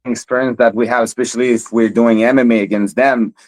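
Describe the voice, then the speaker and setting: A man's voice: mid-range voice, steady tone, a little fast, darkish tone, poor audio. A young man from Montreal, a fighter guest in a casual interview, who stammers and repeats words often. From Montreal, Canada.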